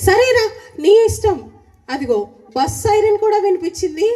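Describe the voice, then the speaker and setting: A bossy schoolgirl puppet acted by a woman for a children's show. The voice is piping high yet mellow in tone. She talks insistently, moving between coaxing and scolding like a big sister. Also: expressive, moderate pace. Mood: resigned, warning.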